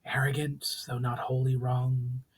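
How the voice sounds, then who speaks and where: A man voicing an ancient, stern and haughty sorceress spirit of flame in a slow, solemn, whispery voice for a fantasy audiobook. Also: medium pitch, completely flat delivery. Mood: stern, instructive. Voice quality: hushed, whispery, breathy. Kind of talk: dramatic audiobook reading.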